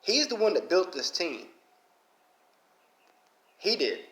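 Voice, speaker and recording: A man's voice: high-pitched, sing-song, slow, a bit bright, roomy sound. A man with a strong Southern Alabama accent, talking in a casual rambling monologue, full of "you know" fillers and getting fired up. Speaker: mobile game player.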